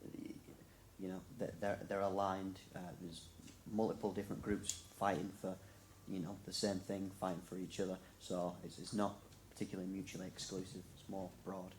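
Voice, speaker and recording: A young man answers informally on a student panel. His voice is low and barely varies in pitch. Faint noise sits behind him. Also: monotone, normal speed, a little mellow, poor audio. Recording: clear background noise.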